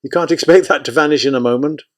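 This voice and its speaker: Fussy nervous soldier aide, high-strung and pleading, as a male narrator puts him on. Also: quick pace, lightly acted. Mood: worried. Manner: pleading, flustered. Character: anxious fussing male army aide.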